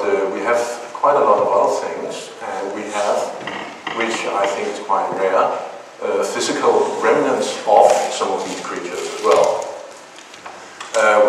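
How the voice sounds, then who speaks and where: A man with a Danish accent giving a lecture to an audience, hesitant with many filler sounds and dry humor. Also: fairly low pitch. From Denmark. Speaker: zoologist giving a talk. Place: large hall. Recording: echoey hall, distant mic, noisy.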